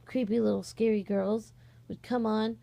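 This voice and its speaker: A young woman with a fairly high voice speaks in an informal vlog style. She rambles along hesitantly, stopping to correct herself and picking the thought back up as she asks her followers to take part. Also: level tone, normal speed, gravelly, warm tone. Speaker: online voice-acting channel host. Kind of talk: casual vlog, audition call.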